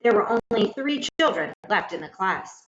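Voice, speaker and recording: Female storyteller for children's read-aloud: dramatic, highly varied pitch, careful phrasing, roomy and slightly dull recording. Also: very animated, normal speed, fairly bright tone, a little hiss or hum. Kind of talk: expressive scripted children's story narration. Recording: echoey room, slightly muffled sound.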